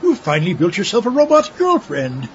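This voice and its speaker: A man's voice: high voice, huge pitch swings, brisk. A man voicing a spiteful, arrogant mad scientist villain in an audio comedy, mocking and theatrically grandiose. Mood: gleeful mockery. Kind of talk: audio comedy drama acting. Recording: muffled audio with clear background noise.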